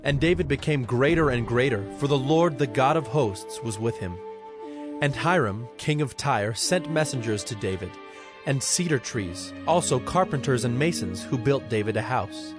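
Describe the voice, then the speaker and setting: A man narrating scripture from a script in a formal, measured storytelling manner. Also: medium pitch, lively intonation, music in the background.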